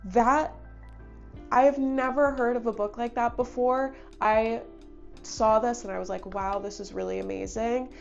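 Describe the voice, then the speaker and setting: Young female book reviewer, stuffy with a cold, keen and chatty, some vocal fry, dull lo-fi sound. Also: a little high, fairly even intonation, a little slow, soft background music. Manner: chatty, enthusiastic, thinks aloud. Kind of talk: casual book review talk.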